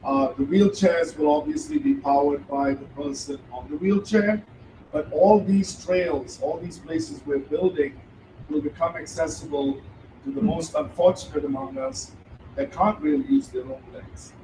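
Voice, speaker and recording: A man's voice: fairly high pitch, big pitch swings, a little slow, warm tone. A middle-aged man, the founder, speaking hesitantly with many fillers to a live audience, holding a handheld mic. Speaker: founder of the treadmill venue. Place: event room with audience. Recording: roomy hall, voice from a distance, faint noise.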